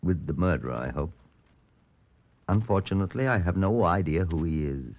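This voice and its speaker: An actor playing a dry, determined chief inspector who narrates a vintage radio police drama in measured, understated tones. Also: male voice, sits low, animated. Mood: grim, determined. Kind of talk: golden age radio police drama acting. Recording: dull, lo-fi old radio recording.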